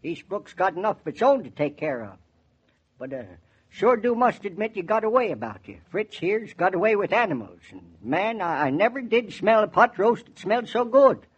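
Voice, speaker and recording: Quirky old New England farm neighbor, high husky voice, folksy and proud, vintage radio drama. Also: male voice, very animated, normal speed, full character voice. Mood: eager, folksy. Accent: rural New England Yankee. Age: older. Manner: rustic, drawling hesitations and homespun phrases. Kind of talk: 1940s radio drama acting. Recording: dull, band-limited 1940s radio recording.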